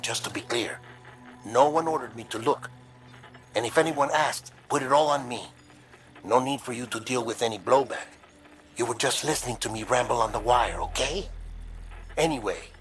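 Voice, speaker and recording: A man playing an earnest, secretive colleague who gives a measured, confiding briefing over comms in a cinematic video game. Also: medium pitch, a bit bright, lightly acted. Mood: guarded, confiding. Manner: measured, confiding, hesitant explanatory delivery.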